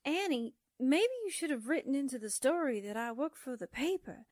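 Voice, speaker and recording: Actress as a high-pitched, dreamy, gullible sidekick. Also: female voice, lively intonation, moderate pace, darkish tone, no room sound, clean studio sound. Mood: wistful, chatty. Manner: gushing, wistful, naive. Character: dreamy, gullible, sentimental young woman secretary sidekick. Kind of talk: old-time radio drama acting.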